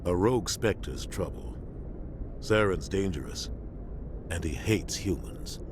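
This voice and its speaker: An actor playing a seasoned, loyal military starship captain in a video game, grave and measured with authority. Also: male voice, low-pitched, expressive. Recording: clear engine-like ship noise behind.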